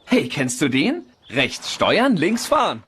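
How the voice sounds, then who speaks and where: A friendly, confident young race car from a cartoon. The voice is bright and fairly high, boyish and expressive, with very animated pitch over faint noise. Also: male voice, takes it slowly, husky, full character voice. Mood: friendly, curious. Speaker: voice actor in German dub.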